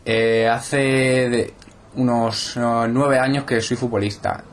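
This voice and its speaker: A man's voice: medium pitch, slow pace, a little hiss or hum. Young man with a southern Spanish lilt. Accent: Andalusian-tinged Spanish. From Spain. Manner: steady, explanatory, with frequent hesitation fillers. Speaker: interviewed footballer. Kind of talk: casual interview answers.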